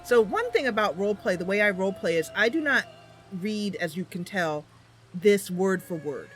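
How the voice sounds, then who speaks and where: A woman's voice: medium pitch, lively intonation, moderate pace, soft background music. A woman hosting a gaming channel, casually commentating on her roleplay, thinking aloud and explaining as she goes.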